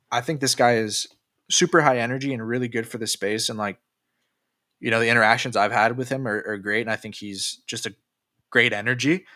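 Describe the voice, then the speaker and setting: Casual young male streamer, late-night and loose, tense bright tone, dead-dry close mic. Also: mid-range voice, dry recording. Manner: loose and profane, with many fillers and restarts. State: tired from staying up late. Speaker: crypto livestreamer reading chat. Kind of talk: casual livestream chat.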